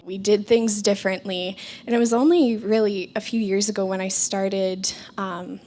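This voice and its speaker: Young woman panelist, mid pitch, sincere and reflective, a few ums. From Canada. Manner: earnest, thoughtful, with frequent ums. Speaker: round table panelist. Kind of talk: reflective personal account.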